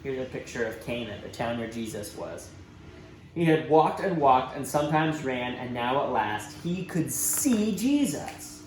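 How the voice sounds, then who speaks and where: A man tells a children's story in a highly animated voice that swings widely in pitch. He leans into dramatic emphasis and speaks directly to young listeners. The room has a noticeable echo with faint noise behind him. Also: a little slow. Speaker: church children's storybook reader.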